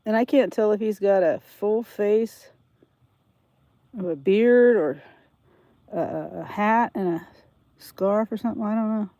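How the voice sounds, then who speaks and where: A woman's voice: medium pitch, unhurried, long pauses, warm tone, no room sound. Congested older woman rambling casually. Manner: rambling, thinks aloud, restarts sentences. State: congested with a head cold. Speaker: vlogger. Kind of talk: casual rambling chat to camera.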